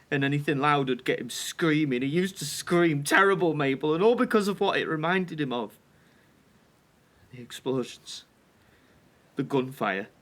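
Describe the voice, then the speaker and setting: A man's voice: a little high, fairly bright tone. A man with a Northern English accent playing a troubled, tender 1940s husband in an audio drama, earnest and emotional. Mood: anguished. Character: troubled, tender wartime husband in 1940, self-doubting factory worker.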